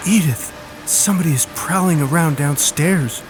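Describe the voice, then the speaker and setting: An anxious young girl pleads in a horror story, acted out by a male narrator who lifts his pitch. The delivery is dramatic and full of fear, with clear music underneath. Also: normal speed, lightly acted, low-quality recording. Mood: panicked pleading. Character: frightened young girl pleading in a haunted house.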